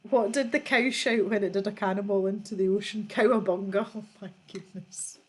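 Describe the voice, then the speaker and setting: A woman's voice: medium pitch, steady tone, a little fast. A woman hosting a casual livestream, reading out viewer jokes and laughing often as she chats. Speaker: livestream host.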